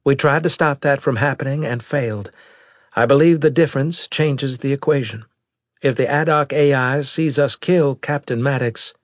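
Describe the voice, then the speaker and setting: A man's voice: medium pitch, moderate pace, no room sound, studio quality, voice pushed up, lightly acted. A man voicing an earnest, loyal female military brigadier in an audiobook, worried but resolute, with formal, emphatic speech. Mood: urgent. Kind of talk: audiobook character dialogue.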